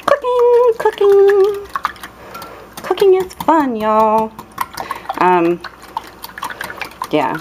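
A woman's voice: extremely high pitch, wildly swooping pitch, drawn-out words, pauses often, background noise. A young woman demonstrating a recipe in her dim home kitchen, chatty and playful, with lots of ums and cheerful exclamations. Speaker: cooking vlogger. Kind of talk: casual cooking demonstration. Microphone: camera held close, filming her hands.

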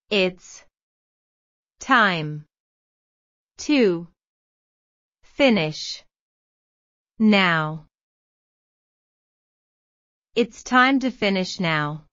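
A woman modelling English phrases for learners, repeating each one slowly and then word by word. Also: mid-range voice, animated, fairly bright tone, no echo. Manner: very slow, carefully enunciated. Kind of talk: slow drill reading, word by word. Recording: dull, slightly lo-fi sound.

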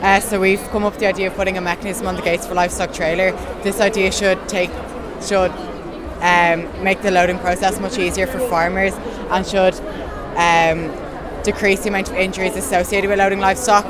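A teenage boy with an Irish accent explaining his school project, earnest and halting with fillers, into a handheld mic at an exhibition. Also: high voice, level tone. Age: teens. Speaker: student exhibitor. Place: busy indoor exhibition hall. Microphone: handheld interviewer mic. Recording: loud crowd and hall noise.

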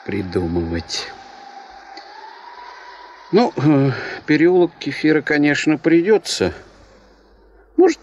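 An actor playing a whimsical, teasing man with a playful, unhurried way of talking, in a 1970s Soviet radio play. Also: mid-range voice. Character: whimsical, teasing male dreamer planning a town.

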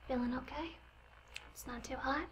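A woman whispering softly and reassuringly in an ASMR hairdresser role play, recorded on binaural microphones. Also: sits high, normal speed. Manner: soft, gentle whispering, reassuring. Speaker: ASMR host playing a hairdresser. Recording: faint noise, clicks, close binaural mics.

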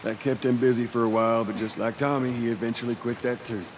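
A man's voice: medium pitch, steady tone, quick pace, noisy background, natural voice. A man playing a guarded, weary middle-aged survivor with an American Southern accent in a video game drama, terse and understated.

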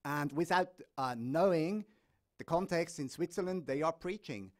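Halting male panelist in a hall. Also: on the high side. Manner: halting, many fillers and restarts. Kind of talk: panel discussion answer. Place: stage of a large conference hall.

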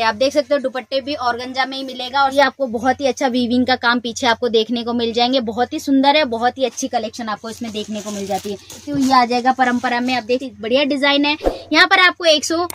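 A woman hosting a shop video who shows suits one after another and talks in a chatty, repetitive sales patter. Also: high-pitched. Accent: Hindi speaker from North India. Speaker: shop video host showing wholesale suits. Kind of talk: product showcase sales pitch.

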